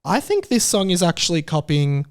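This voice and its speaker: A young man with an Australian accent hosts his own podcast and talks through it casually, with jokey, playfully opinionated asides. His voice sits a little high for a man and sounds smooth and resonant. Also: sing-song, normal speed. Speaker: podcast host.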